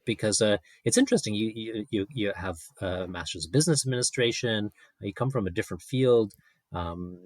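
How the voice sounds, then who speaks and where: Man, rather low husky voice, podcast interviewer, tentative casual questioning, dry sound. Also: no echo.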